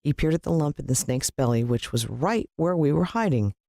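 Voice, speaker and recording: Audiobook narrator: American woman, expressive and suspenseful storytelling, measured pauses, bone-dry studio-like sound. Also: deep voice, gravelly, no room sound. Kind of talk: dramatic scripted storybook narration.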